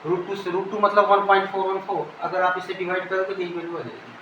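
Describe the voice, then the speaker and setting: A man's voice: high voice, fast, muffled recording. A man with an Indian accent giving an informal technical lecture step by step, repeating and rephrasing as he explains. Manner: didactic, repeats and rephrases phrases. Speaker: teacher. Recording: echoey room with faint background noise.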